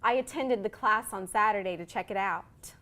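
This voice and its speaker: A woman news anchor introduces the next story in a crisp, scripted delivery. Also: mid-range voice, moderate pace, bright, forceful tone.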